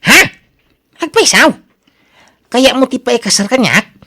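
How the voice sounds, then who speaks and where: A woman's voice: fairly high pitch, lively intonation, a little slow, sharp tone, full character voice. A woman playing an eager, easygoing young bachelor in love in a radio drama, with an Ilocano accent. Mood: helpful, keen.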